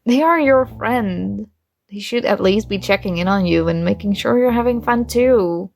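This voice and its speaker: A young woman playing a shy, warm college girl with a secret crush, speaking softly and hesitantly in an intimate ASMR roleplay. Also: mid-range voice, moderate pace, creaky, lightly acted. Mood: indignant, caring.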